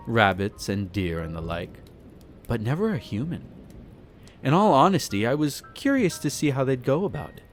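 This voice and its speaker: A man performs an aristocratic male vampire in an intimate roleplay audio drama, with faint music behind him. His middle-register voice moves in lively, theatrical swings. He is flirtatious and smugly amused, condescending to the listener in polished, formal phrasing. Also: mid-range voice. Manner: theatrical, leisurely storytelling with teasing, haughty, formal wording.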